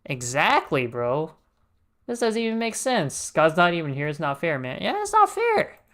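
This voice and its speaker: A man's voice: on the high side, wildly swooping pitch, normal speed, fairly bright tone. A man giving casual, slangy, joking gameplay commentary as a streamer. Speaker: game streamer.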